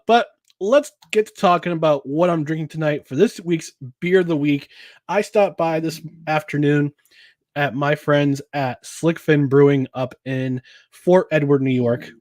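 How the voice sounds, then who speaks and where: A man's voice: on the high side, moderate pace, no room sound. A man hosting a casual solo podcast about sports and beer, rambling with chuckles, filler words and restarts. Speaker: podcast host.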